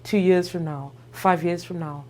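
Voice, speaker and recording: A middle-aged woman with a Kenyan accent speaks informally as a dentist. Her voice is on the low side and very mellow, with faint noise behind it. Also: fairly even intonation, moderate pace. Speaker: pediatric dentist. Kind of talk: informal interview talk.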